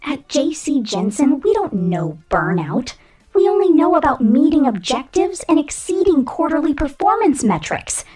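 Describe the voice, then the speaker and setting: A woman voicing a vain, domineering female robot corporate boss who scorns humans, in a condescending, menacing ASMR roleplay with a whispery, deliberate delivery. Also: sits high, big pitch swings, darkish tone, faint music behind. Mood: cold, menacing. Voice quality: breathy, whispery. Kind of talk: ASMR roleplay monologue, scripted.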